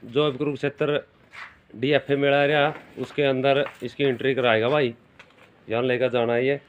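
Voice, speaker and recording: A man's voice: mid-range voice, flat delivery, normal speed. Haryanvi man, dull lo-fi audio. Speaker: livestock video presenter and interviewer. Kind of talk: informal on-location commentary. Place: open farmyard with brick walls. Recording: dull phone audio with faint noise.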